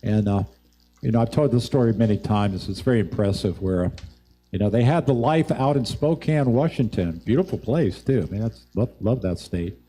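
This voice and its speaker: A man's voice: on the low side, expressive, normal speed, mellow, husky. A man hosting a show, chatting casually and conversationally as he interviews guests in a rambling way. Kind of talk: casual on-air interview chat.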